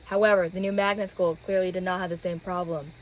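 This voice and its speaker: A woman playing a smug, self-assured investor, a scheming man with measured delivery, in an old-time detective radio drama. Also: on the low side, level tone, slight background noise, close to an everyday voice, low-quality recording.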